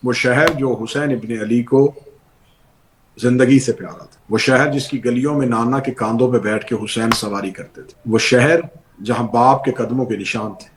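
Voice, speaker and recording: A man's voice: mid-range voice, normal speed, a little hiss or hum. A man preaching, telling a sacred story in a declamatory, mournful sermon. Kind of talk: emotional religious sermon narration.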